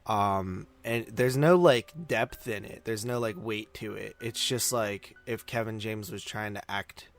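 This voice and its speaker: A man chatting informally and haltingly as co-host of a movie podcast. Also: on the low side, fairly even intonation. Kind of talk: casual chat reviewing films.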